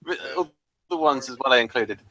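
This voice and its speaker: A man's voice: medium pitch, lively intonation, brisk, sharp tone. A man chatting casually as a co-host on a review show. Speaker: podcast co-host reviewing a TV episode. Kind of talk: casual chat.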